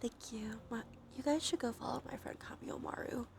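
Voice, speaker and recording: A woman with a stuffy nose doing a cozy, gentle ASMR stream, chatting casually in a soft, breathy voice that often drops into whispers. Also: fairly high pitch, moderate pace, a little hiss or hum. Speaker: livestreamer hosting an ASMR stream. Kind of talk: cozy ASMR, casual chat while gaming. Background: soft ambient soundscape.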